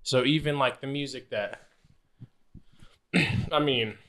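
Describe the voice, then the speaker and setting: A young man talks loosely on a podcast, circling his point with plenty of "like" and "I mean". His voice sits at an ordinary middle pitch. He is easygoing and pokes fun at himself. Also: slow, a bit bright. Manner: rambling, filler-heavy, self-deprecating. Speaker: podcast host. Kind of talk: casual chat.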